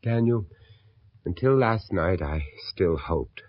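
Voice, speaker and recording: Male, grief-stricken father for vintage British radio horror; husky, wide-swinging pitch, halting and intense, dull old recording. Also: expressive, no echo, heavily acted. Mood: anguished, pleading. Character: grieving, haunted father searching for his lost son. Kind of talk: 1970s British radio horror drama acting.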